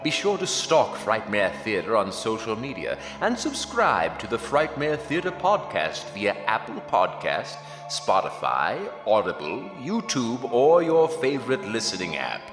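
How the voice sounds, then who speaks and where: An actor playing a formal, ominous announcer reading scripted credits in old-time horror radio style. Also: male voice, lowish voice, wildly swooping pitch, measured pace, hardly any pauses. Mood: ominously formal. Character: grandly spooky radio announcer. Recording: echoey hall reverb, music behind.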